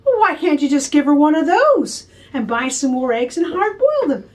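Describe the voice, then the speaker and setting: A woman voicing a teasing, know-it-all big brother in a high, needling voice. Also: very animated, normal speed. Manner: squeaky, needling. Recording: distant from the mic.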